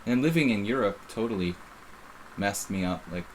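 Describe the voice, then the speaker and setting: A man's voice: lowish voice, lively intonation, moderate pace, slight background noise, rough recording. A middle-aged man with a faint Philadelphia accent, casually commenting on his own live chess game in a rambling, self-interrupting way. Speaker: chess streamer.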